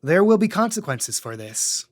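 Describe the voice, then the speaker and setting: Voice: mid-range voice, big pitch swings, moderate pace. A game master narrating and refereeing a tabletop role-playing podcast.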